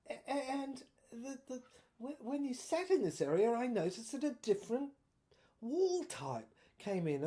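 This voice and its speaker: A woman playing a haunted, bewildered officer in a dramatic monologue, stammering through visions in halting fragments and drifting into breathy whispers. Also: a little high, mellow. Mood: bewildered, awed. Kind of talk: dramatic first-person monologue.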